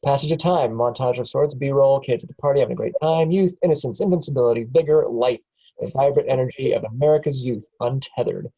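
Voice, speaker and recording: Table-read narrator, male, mellow and plain. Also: mid-range voice, quick pace, breathy edge, rough recording. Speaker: narrator reading stage directions.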